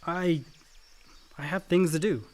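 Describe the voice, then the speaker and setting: An actor as a reserved, lighter-voiced young son. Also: male voice, on the high side, fairly even intonation, measured pace, darkish tone, natural voice. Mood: uneasy, withdrawn. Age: young adult. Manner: understated, guarded. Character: reserved, caring, troubled younger grown son. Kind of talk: naturalistic radio drama acting.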